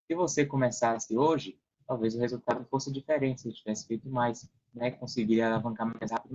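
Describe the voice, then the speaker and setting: Man, Brazilian accent, casual explanatory talk, middle pitch, slightly muffled recording. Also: darkish tone, husky. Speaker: podcast guest.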